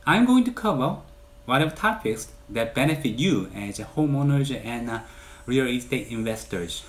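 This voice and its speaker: A man in his forties with a Korean accent speaks straight to camera, earnest and measured. His pitch sits in an ordinary middle register, and he pushes up on the words he wants to stress. The sound is clean, recorded indoors in a bright room. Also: lively intonation. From South Korea. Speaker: realtor. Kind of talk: direct-to-camera channel introduction.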